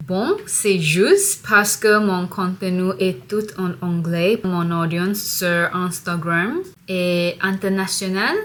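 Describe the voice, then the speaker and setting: A young woman vlogger from Singapore speaks to her viewers in a language she is still learning. She takes her time, pausing to find words and building sentences carefully. Her pitch sits in an ordinary middle range. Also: fairly bright tone. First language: not French. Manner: slow, careful learner's French with pauses. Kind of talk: casual vlog to camera.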